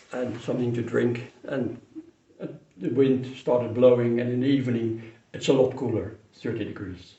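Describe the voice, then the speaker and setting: An older man who grew up in Indonesia, fondly telling a childhood memory in an unhurried way, with pauses and restarts. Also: mid-range voice, vocal fry, dark tone. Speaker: storyteller. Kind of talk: personal memory told to camera. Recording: roomy echo, mic some distance away.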